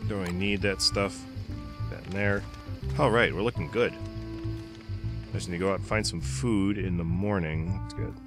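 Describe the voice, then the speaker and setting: A man's voice: deep voice, steady tone, normal speed, pauses often. A man casually commenting while playing a game, a bit congested from a cold and muttering to himself as he goes. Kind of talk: casual let's-play commentary. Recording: voice with loud background music. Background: background music.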